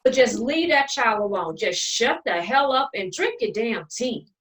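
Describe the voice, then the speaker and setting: A haughty, sharp-tongued sister in a stage family drama , played by an actress. Her voice is fairly high and sits a little away from the mic. She lectures in polished words, coated in sugary sarcasm. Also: animated, measured pace, far from the mic. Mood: stern, exasperated. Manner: condescending, sarcastic, enunciated scolding with sugary endearments. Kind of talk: dramatic play reading.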